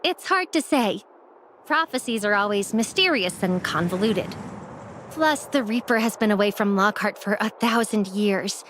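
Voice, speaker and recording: A woman voicing an earnest, devout young emissary in an anime game, with a dramatic, expressive delivery. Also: mid-range voice, normal speed, a little hiss or hum, natural voice. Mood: explaining.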